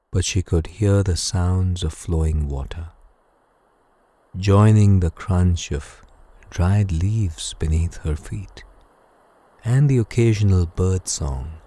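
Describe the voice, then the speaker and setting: A man gently and calmly narrating a scripted bedtime story for guided sleep meditation, soothing and unhurried. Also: sits low, slightly rough. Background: music.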